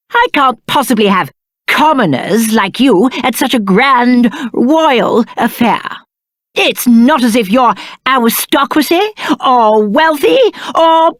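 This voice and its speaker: High-voiced, pompous spoiled British prince. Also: male voice, a bit bright, no room sound, studio quality, full character voice. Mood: snobbish, condescending. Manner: pompous, petulant, theatrical. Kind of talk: video game character acting.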